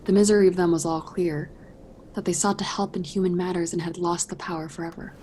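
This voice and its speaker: A woman narrating a ghost tale in a radio play with a breathy voice and measured, dramatic delivery. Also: lowish voice, flat delivery, warm tone, slight background noise, natural voice. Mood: measured, eerie. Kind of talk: scripted narration.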